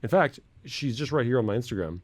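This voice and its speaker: A man's voice: medium pitch, animated, fast, no echo. A man hosting a radio show, chatting casually in a studio, laughing easily and telling stories.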